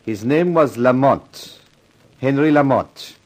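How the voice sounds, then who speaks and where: An actor playing an anxious, excitable French fashion designer with foreign phrasing and a French accent in a 1950s radio drama. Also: male voice, mid-range voice, slow pace, natural voice. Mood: grave. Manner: foreign phrasing, earnest, theatrical.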